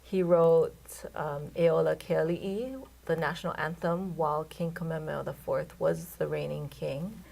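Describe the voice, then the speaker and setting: A woman from Hawaii, a care home chief, giving measured interview answers about its history with frequent fillers. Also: low-pitched, fairly even intonation, creaky, a little mellow, low-quality recording. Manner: measured, explanatory, many um fillers. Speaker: guest, care home CEO.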